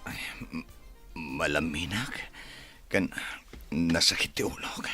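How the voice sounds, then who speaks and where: A man's voice: rumbling low, slow, bright, forceful tone, faint music behind, full character voice. A man with an Ilocano accent acting a groaning, feverish, guilt-ridden husband in a radio drama. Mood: ailing, guilty.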